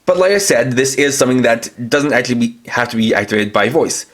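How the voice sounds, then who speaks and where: A man's voice: medium pitch, expressive, a little fast, fairly bright tone. A man reviewing toys, walking through each feature in a methodical, step-by-step way. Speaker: toy reviewer. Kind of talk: toy review demonstration.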